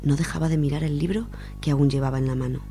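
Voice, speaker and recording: A woman's voice: sits low, fairly even intonation, fast talker, warm tone. A woman with an accent from Spain reading a literary short story aloud as a radio storyteller. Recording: music behind the voice.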